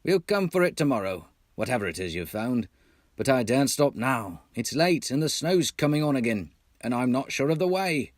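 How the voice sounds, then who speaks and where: A man voicing a cheerful, brisk storybook water rat, heartily urging. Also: medium pitch, big pitch swings, dry recording, studio quality, voice pushed up.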